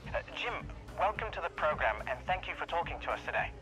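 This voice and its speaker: An actor playing a polite broadcast sports interviewer in a game, formal and courteous. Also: male voice, fairly high pitch, sing-song, fast, pushed and bright, faint music behind, poor audio.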